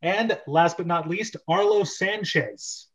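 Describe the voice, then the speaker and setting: A man's voice: high-pitched, slow pace, a bit bright. A man hosting a show, mixing theatrical scripted introductions with casual, self-deprecating chat. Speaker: host. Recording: dull, lo-fi microphone sound.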